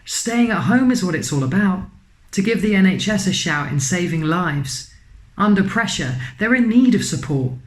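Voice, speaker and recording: A woman's voice: lowish voice, animated, normal speed. Echoey woman performing rhyming poetry. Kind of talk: spoken-word poetry with rhyme. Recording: echoey room.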